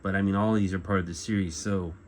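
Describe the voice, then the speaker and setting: A middle-aged man giving a casual show-and-tell as a collector, talking in a halting, rambling way and often correcting himself. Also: low voice, steady tone. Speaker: collector presenting memorabilia. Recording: clear background noise.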